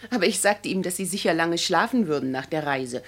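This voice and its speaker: An actress playing a deferential maidservant in a radio drama, polite and hesitant. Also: female voice, lowish voice, lively intonation, brisk. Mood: flustered, respectful. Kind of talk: German radio drama acting.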